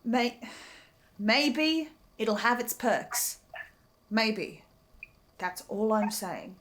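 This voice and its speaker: A woman's voice: on the high side, expressive, very slow, a bit bright, full character voice. A woman playing a nervous, earnest, apologetic researcher in a naturalistic audio drama, stammering and repeating words. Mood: reluctant. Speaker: host of a listen-along show.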